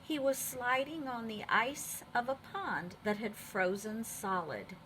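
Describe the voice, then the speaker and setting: A woman teacher reading a storybook aloud to young children in an expressive, deliberate way. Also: fairly high pitch, a little hiss or hum, low-quality recording.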